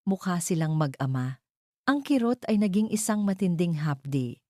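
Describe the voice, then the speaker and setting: A woman narrating a romantic drama in a measured, sentimental storytelling manner. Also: low-pitched, dark tone, no echo, clean studio sound.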